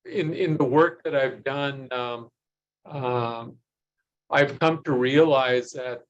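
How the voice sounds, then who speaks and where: A man, a physician, speaking reflectively in a measured, hesitant way with many pauses on a video call. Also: medium pitch, dull audio. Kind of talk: reflective remarks in online panel.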